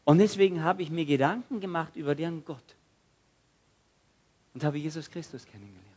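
A man preaching a sermon, swinging from loud outbursts to hushed, quiet passages and acting out prayers. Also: on the high side, fairly quick, slightly rough, highs cut off.

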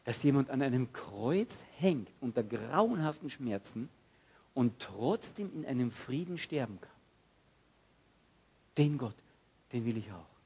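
A man preaching a sermon, swinging from loud outbursts to hushed, quiet passages and acting out prayers. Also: medium pitch, very animated, unhurried, pauses often, breathy edge, lo-fi sound.

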